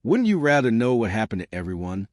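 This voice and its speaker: A man's voice: lowish voice, very animated, fast, no echo, clean studio sound. A man voicing a brave, adventurous teenage girl in a horror storybook reading, speaking eagerly and with determination.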